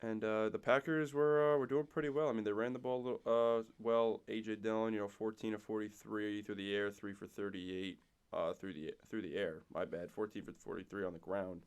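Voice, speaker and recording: Man, young adult, hoarse from a cold, raspy and nasal, low voice, rattles off stats, casual co-host. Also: steady tone, fairly quick. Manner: rattles off game stats, restarts phrases. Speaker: podcast co-host. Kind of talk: casual sports chat.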